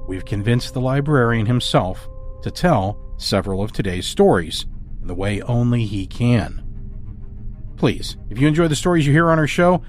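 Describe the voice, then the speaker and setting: A man hosting and narrating a scripted horror podcast in a dramatic, deliberate manner. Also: medium pitch, animated. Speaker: host and story narrator. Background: music score.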